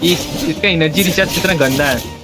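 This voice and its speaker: A man's voice: a little high, rapid-fire, loud music behind the voice, low-quality recording. A man with an Indian accent, a native Hindi speaker, giving casual livestream commentary on a video game. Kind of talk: casual gaming commentary mixing languages.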